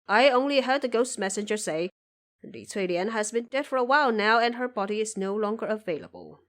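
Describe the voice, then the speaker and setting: A woman's voice: on the high side, fairly quick, fairly bright tone, natural voice. Woman acting humble, earnest male subject. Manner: deferential, earnest, recounting. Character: humble, loyal male commoner subject reporting to his emperor. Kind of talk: audio drama character acting.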